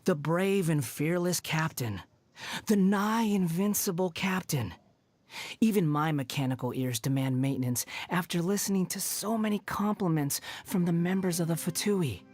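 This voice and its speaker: A woman's voice: fairly low pitch, normal speed, a little mellow, dry recording, close to an everyday voice. A woman voicing a haughty, sardonic young wanderer from a video game, with a dry, condescending manner. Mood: mocking. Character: haughty, sardonic young wanderer, a former villain with mechanical parts. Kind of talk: video game character voice-over.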